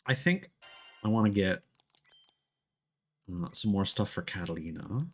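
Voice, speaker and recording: A man giving casual live gameplay commentary as a streamer, often thinking out loud in a halting way. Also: sits low, expressive, faint music behind. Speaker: game streamer.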